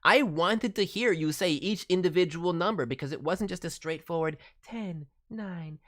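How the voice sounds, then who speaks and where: A man's voice: high voice, moderate pace, fairly bright tone. A man hosting a casual livestream as a voice director, giving feedback in a playful way with cutesy turns of phrase. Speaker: voice director, streamer host. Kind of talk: livestream critique of demo reels, casual.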